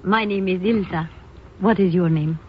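A woman's voice: on the low side, normal speed, lightly acted. Tinny-sounding European femme fatale played by a woman. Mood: flirtatious. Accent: Continental European. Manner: forward, coolly teasing, formal phrasing. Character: seductive, confident, mysterious European femme fatale. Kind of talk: 1950s radio drama acting. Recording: tinny, narrow, hissy old radio recording.

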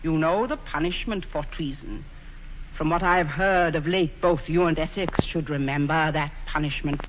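A woman's voice: sits low, moderate pace, close to an everyday voice. An actress playing an imperious, lovelorn queen in a 1940s radio play, speaking in a regal, theatrical way. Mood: stern. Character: imperious, shrewd, lovelorn ageing English queen. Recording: muffled hissy 1940s radio recording, long reverb.

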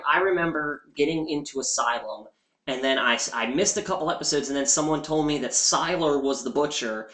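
A man's voice: fairly high pitch, brisk, pushed and bright. A man co-hosting a podcast, adding dry jokes to a crude casual chat. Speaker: podcast co-host. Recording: echoey room.

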